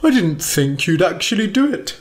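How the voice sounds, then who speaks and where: A storyteller voicing a volatile, soft-hearted male dragon outlaw who swings from threats to tears. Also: medium pitch, big pitch swings, moderate pace, lightly acted. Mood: teary gushing. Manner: swings between menacing and teary gushing. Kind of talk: storybook reading.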